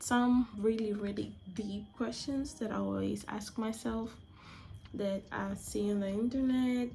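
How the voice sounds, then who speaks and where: A woman's voice: mid-range voice, gravelly, darkish tone, a little hiss or hum. A young woman from Angola whose first language is Portuguese, chatting casually in her vlog and often hunting for words and restarting her phrases. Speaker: vlogger.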